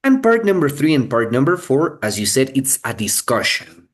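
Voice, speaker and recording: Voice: medium pitch, very animated, normal speed. A teacher explaining things to students in an online exam-prep lesson, often checking that they follow. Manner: frequent checking questions like okay and right. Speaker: English teacher.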